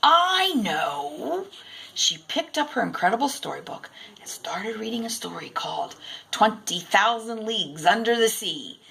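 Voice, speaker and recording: A woman narrates a children's story aloud with lots of expression. Her voice is bright and lively, with some creak, and she tells it deliberately. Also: mid-range voice, rough recording. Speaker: storybook reader.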